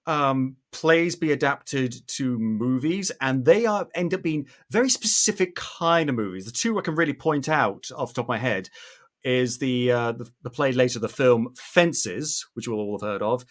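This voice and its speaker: A man's voice: medium pitch, animated, normal speed. A man hosting a podcast interview in a conversational, somewhat rambling way.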